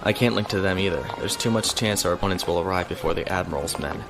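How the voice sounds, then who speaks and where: An actor playing a resourceful military lieutenant on the run in a science fiction audio drama. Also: male voice, deep voice, fast talker, over music. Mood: focused.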